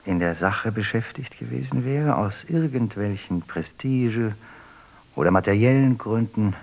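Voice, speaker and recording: An actor playing a man who reminisces in deliberate, playful fragments in a literary radio play, with a husky voice. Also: lowish voice, lively intonation, exaggerated voice. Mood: pondering.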